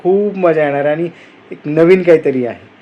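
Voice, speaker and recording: Male travel co-host, slightly high-pitched, informal explainer who slips English words into his talk. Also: normal speed, dark tone, dull audio. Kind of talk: casual vlog chat.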